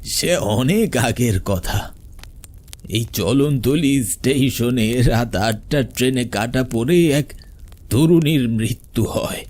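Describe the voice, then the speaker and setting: A man voicing an elderly village grandfather in a horror cartoon, grave and protective, with husky, drawn-out dramatic warnings. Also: medium pitch, darkish tone. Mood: grave, hushed.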